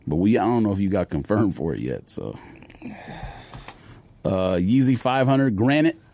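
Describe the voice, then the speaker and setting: A man's voice: on the low side, expressive, normal speed, dark tone. A man with an American accent co-hosting a sneaker podcast, chatting casually with slangy, teasing banter about shoes and sports.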